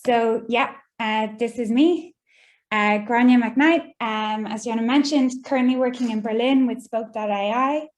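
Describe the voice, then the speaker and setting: Young Irish woman, guest lecturer on a video call, slightly high voice, measured and explanatory. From Ireland. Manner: measured, explanatory, with frequent ums and uhs. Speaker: guest speaker. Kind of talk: online lecture presentation.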